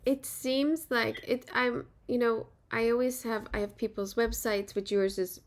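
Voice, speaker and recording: A woman's voice: a little high, steady tone, measured pace. A woman hosting a podcast in a chatty, easy way, laughing easily and using many fillers. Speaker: podcast host. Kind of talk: casual interview chat.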